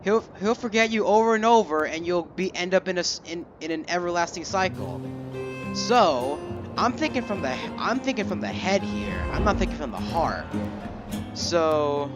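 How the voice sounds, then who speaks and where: A young man giving casual, halting game commentary, thinking aloud as he plays. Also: high-pitched, lively intonation. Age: young adult. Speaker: gamer recording a playthrough. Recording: game music behind voice.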